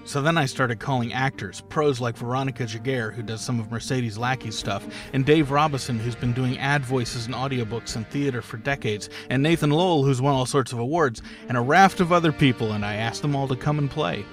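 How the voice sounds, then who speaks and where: A man giving an enthusiastic, conversational and dramatic promotional pitch as an audio producer. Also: a little fast, few pauses. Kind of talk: enthusiastic crowdfunding promo narration. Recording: music bed behind voice. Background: background music.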